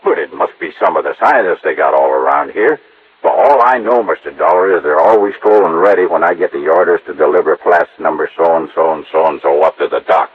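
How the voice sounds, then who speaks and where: An actor playing a slow-witted, eager-to-please handyman with a folksy, rambling, deferential manner in an old radio drama. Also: low voice, slightly rough. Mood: earnest, boastful. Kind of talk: old-time radio acting. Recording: muffled, noisy vintage radio recording.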